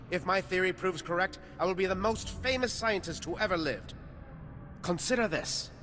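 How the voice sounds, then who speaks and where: A pompous scientist, higher-pitched, echoing. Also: male voice, a bit bright. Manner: pompous, formal, verbose. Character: self-important male scientist, eager for fame and funding. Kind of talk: video game character dialogue. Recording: reverberant hall with background noise.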